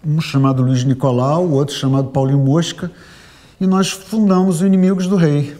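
A man in his fifties with a carioca accent tells his story in a warm, mellow voice. His manner is relaxed and animated. He is speaking in a studio interview. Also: on the high side, normal speed. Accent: Carioca Brazilian Portuguese. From Rio de Janeiro. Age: 50s. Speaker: interviewee, bookseller. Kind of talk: reflective testimonial. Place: studio with gold curtain backdrop.

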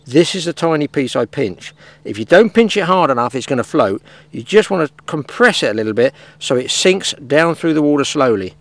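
A man's voice: fairly high pitch, sing-song, fairly quick, hardly any pauses, dry recording. A man in his sixties giving a plain-spoken, practical angling demonstration outdoors on a lake bank, talking while he works with his hands. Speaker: fishing instructor.

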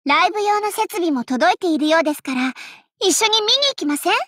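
Elegant young idol lady for anime game: very high, swooping delivery, proud, teasing, quick to fluster. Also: animated, fairly bright tone, dry recording. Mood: excited, showy. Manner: refined ladylike speech, teasing and theatrical.

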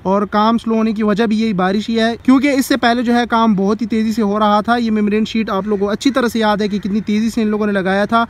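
A man's voice: high-pitched, steady tone, fast talker, hardly any pauses. A young Pakistani man with an Urdu accent, walking outdoors through a construction site and chatting enthusiastically about the progress, recorded on a phone camera. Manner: chatty and enthusiastic, repeats "bhai" and "dekh sakte hain". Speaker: vlogger. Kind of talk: walking tour commentary. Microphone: phone camera at arm's length.

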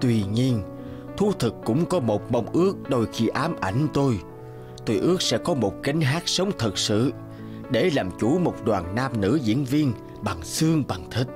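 A man's voice: medium pitch, animated, a little slow, lightly acted. A man playing a humble old puppeteer, music behind. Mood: wistful. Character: kindly old male puppeteer, humble and wistful.

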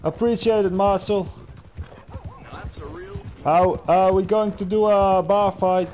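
A young man with a Dutch accent hosts a game livestream, his voice sitting high as he chats with fellow players and viewers. He keeps a loose, friendly running commentary going the whole time. Faint game music and clip-clopping horses sit behind his voice. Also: steady tone, slow, a little mellow. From Netherlands. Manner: chatty, addresses viewers and players directly. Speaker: livestream host. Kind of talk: casual gaming livestream chat.

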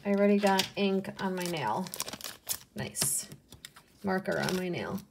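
Woman, young adult, unhurried, slow relaxed talk, soft muttered asides, casual haul video. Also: fairly low pitch, vocal fry, background noise. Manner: unhurried, softly muttering asides, cooing over pieces.

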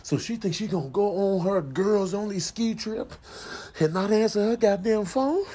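A man's voice: high-pitched, moderate pace, mellow, slightly rough, pitched above the performer's own voice. A man voicing a stubborn, jealous boyfriend in a comedy voiceover, indignant and insistent, full of slang. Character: stubborn jealous boyfriend climbing after his girlfriend.

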